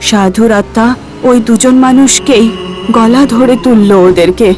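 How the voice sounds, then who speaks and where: A woman's voice: a little high, a little slow. A woman giving scripted narration for a cartoon ghost story. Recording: music behind the voice.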